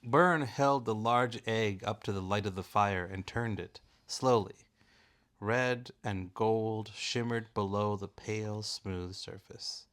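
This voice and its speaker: A man's voice: on the low side, slow, fairly bright tone. Man reading a bedtime story aloud.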